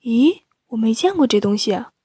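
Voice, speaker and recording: An eager, curious young student, played by a woman in an audio drama. The voice sits fairly high and is recorded very dry, with no room around it. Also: lively intonation, normal speed, warm tone, slightly rough, no echo.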